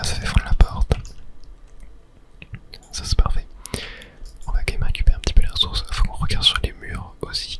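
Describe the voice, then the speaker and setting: Hushed whispered ASMR gaming commentary. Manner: casual, tentative thinking aloud. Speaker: gaming video host.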